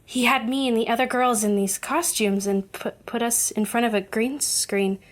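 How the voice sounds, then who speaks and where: A woman's voice: on the high side, steady tone, normal speed, natural voice. A woman in an audio drama playing a distressed, blunt young actress, acting naturalistically. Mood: shaken, upset. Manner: agitated, blunt. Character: distressed, angry, foul-mouthed young actress.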